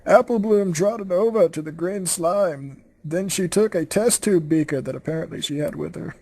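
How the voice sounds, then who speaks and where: A man narrating a story aloud in a put-on comic persona, with a theatrical and deliberate delivery. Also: high voice, a little mellow, poor audio. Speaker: comic fan fiction reader.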